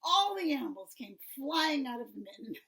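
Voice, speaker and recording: A woman reading a children's story aloud, expressive and often dropping to a hushed, breathy tone. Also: high-pitched, normal speed.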